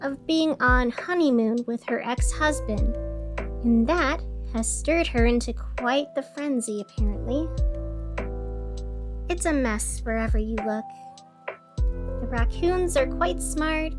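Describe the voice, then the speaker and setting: Woman acting as chatty lady radio host, high voice, earnest n dry, fantasy town news w music behind. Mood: exasperated, amused. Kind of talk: scripted narration as community radio news.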